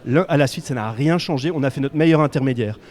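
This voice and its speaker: A man's voice: mid-range voice, fast talker, darkish tone. A man who grew up speaking Dutch, a Belgian radio executive speaking on a conference panel in an assertive, explanatory way.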